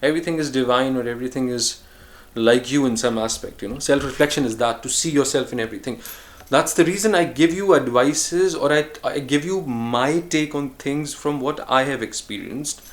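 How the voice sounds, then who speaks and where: A man vlogging a reflective solo monologue, musing and earnest, with frequent pauses and restarts. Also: medium pitch. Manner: earnest, speaks of choosing calm over harshness.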